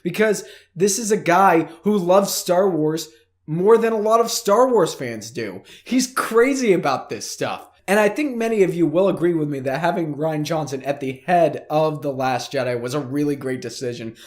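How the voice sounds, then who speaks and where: Hoarse, eager man hosting fan news. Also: on the high side, lively intonation, normal speed. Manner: eager, talks straight to viewers as "guys". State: hoarse, losing his voice after flu. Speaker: host of a weekly fan news show. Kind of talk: casual news commentary to camera.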